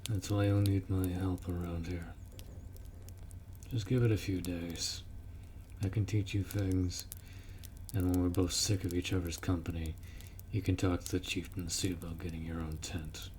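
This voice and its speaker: A man's voice: deep voice, flat delivery, moderate pace, darkish tone, slight background noise, close to an everyday voice. A man voicing a blunt, protective orc warrior who speaks informally and firmly in a roleplay audio drama. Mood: casual, reassuring. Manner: blunt, informal, firm, instructing.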